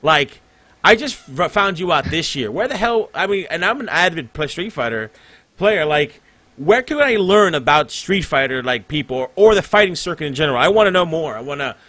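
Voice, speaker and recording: A man from Puerto Rico hosts a casual podcast in a fairly high voice. His pitch swings widely as he rambles through excited questions, laughing often and piling on fillers. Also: brisk, dry recording. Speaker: podcast host.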